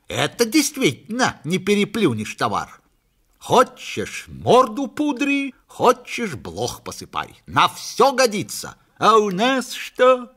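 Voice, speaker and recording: A boastful, gullible everyman of a comic storybook, acted out by a male reader. He exclaims in a high, husky voice that swoops dramatically and drags out words for emphasis. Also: fairly bright tone, exaggerated voice. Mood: admiring, excited.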